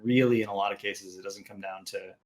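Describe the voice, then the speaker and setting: A man on a panel, talking in a measured, explanatory way. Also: fairly low pitch, fairly even intonation. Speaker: panel guest. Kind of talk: casual panel chat.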